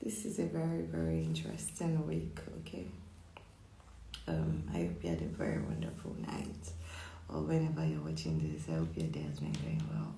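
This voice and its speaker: A psychic astrologer gives a casual weekly horoscope reading in a husky, croaky, just-woken-up voice. The speech is chatty and full of repeats, with lots of "like" and "okay?". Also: extremely low pitch, moderate pace, mellow, a little hiss or hum. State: hoarse from just waking up. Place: indoor room with echo. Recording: echoey room.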